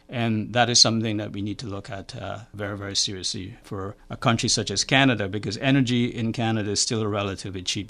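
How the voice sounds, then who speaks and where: A man's voice: lowish voice, creaky, a bit bright. An older man, speaking as an academic in an interview and explaining things, often checking in with the listener. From Guyana. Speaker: academic researcher, climatologist. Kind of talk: interview answers, explanatory talk.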